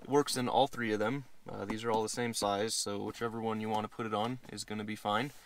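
A man's voice: on the low side, fairly even intonation, quick pace. American man demonstrating, noisy recording. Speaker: product demonstrator. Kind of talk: casual how-to demonstration. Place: inside a parked pickup truck cab. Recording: clear background noise, clicks and handling sounds.

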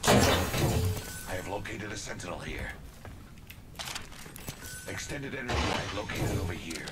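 An actor voicing a flat, robotic male game character giving clipped item callouts, heard over loud music. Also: sits low, measured pace.

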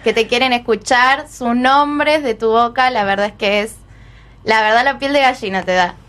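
A woman from Argentina with a local accent speaks on the radio as a fan. Her voice is fairly high, and her delivery is admiring and effusive. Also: moderate pace, fairly bright tone.

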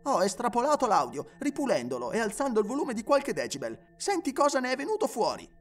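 Audio-story young ghost hunter, acted by a man: smug, eager, teasing, pitched up and lively. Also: a little fast, distant mic, heavily acted. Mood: smug, explaining. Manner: eager, teasing, pleased with himself. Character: smug, excited young male ghost-hunting video sleuth.

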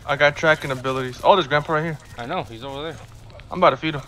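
Excitable young man gaming with friends. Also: on the high side, racing, a little hiss or hum. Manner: loose, swearing, excitable banter. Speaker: gaming streamer. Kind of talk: casual gameplay chat with friends.

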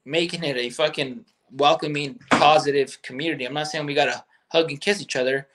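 A man's voice: fairly high pitch, steady tone, brisk, a bit bright, slight background noise. A man in his thirties, a guest business owner, talking bluntly and with lots of swearing in a candid chat over a video call from a bedroom at home. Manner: blunt, profane, emphatic.

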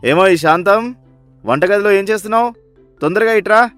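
A man's voice: high voice, normal speed, a bit bright, dry recording, soft background music. A man playing a miserly, sly rich husband in a story, with a bossy, scolding, sarcastic manner. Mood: bossy, impatient.

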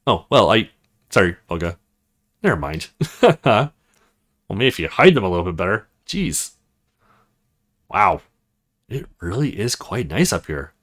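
A man's voice: deep voice, big pitch swings, unhurried, pauses often, a bit bright, voice pushed down, exaggerated voice. A man voicing an awkward young male recruit in a visual novel, hesitant and grumbling to himself.

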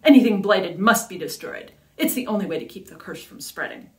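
A woman's voice: mid-range voice, expressive, fairly quick, a little mellow, distant mic, close to an everyday voice. A woman reading the part of a cold, confident teenage hunter's apprentice, with a scornful, drawling delivery. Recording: roomy echo.

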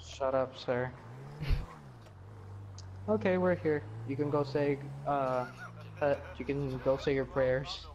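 A man's voice: mid-range voice, fairly even intonation, takes it slowly, long pauses, a little mellow, lo-fi sound, a little hiss or hum. A young man gaming online with friends, teasing and laughing over voice chat while losing his voice. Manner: joking, teasing, laughs often. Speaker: gamer. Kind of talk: casual gaming banter.

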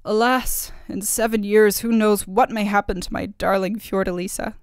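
A woman's voice: mid-range voice, fairly even intonation, moderate pace, smooth, no echo, studio quality, close to an everyday voice. A woman voicing a proud, lovelorn young king in a fairy tale, stubborn and indignant. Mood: lamenting.

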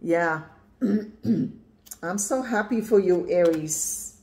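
A woman in her fifties, originally from Poland, talks through a tarot reading to a camera pointed at her cards. Her voice sits on the low side, and she speaks emphatically, often checking in with "right?". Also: very slow, a little mellow, slight background noise. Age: 50s. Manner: emphatic, repeats "yeah" and "right?". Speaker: tarot reader. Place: indoors at a card table, camera on the cards.

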